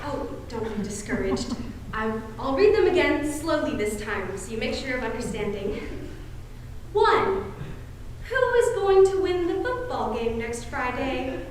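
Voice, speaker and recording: A woman's voice: sits high, sing-song, a little slow. A young American woman on a school stage playing a fussy, genteel matron aunt who coaxes sweetly and gets flustered. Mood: coaxing, instructive. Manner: sugary, mannered, coaxing, flustered exclamations. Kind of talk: high school stage play acting. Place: indoor school stage, filmed performance. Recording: echoey hall, distant camera, faint noise.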